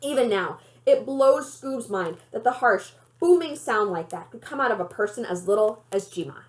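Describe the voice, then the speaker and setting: A woman reading a children's novel aloud in an expressive storytelling style. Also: on the high side, normal speed. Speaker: library read-aloud reader.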